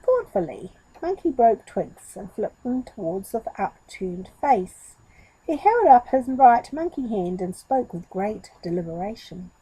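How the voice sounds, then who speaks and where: A woman storyteller giving an expressive narration of a story for children. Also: fairly high pitch, unhurried, husky, no room sound, low-quality recording.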